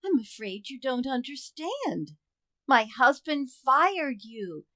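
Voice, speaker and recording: A woman playing a patient, polite, gently teasing wife in a radio comedy, with a measured, soothing manner. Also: sits high, big pitch swings, no room sound, full character voice. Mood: polite, firm. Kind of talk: old-time radio comedy acting.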